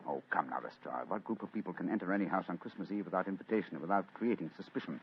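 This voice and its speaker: Man acting as a commanding male detective, fast-talking, lowish voice, dull old radio sound. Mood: brisk. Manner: crisp, authoritative, quick-witted. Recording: dull old radio recording, faint hiss.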